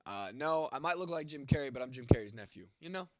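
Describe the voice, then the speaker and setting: A man's voice: medium pitch, animated, quick pace, background noise. Congested young man streaming casually. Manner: laid-back, swears casually. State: congested, losing his voice, coughing. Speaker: livestream host. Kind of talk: casual livestream chat, reading viewer comments.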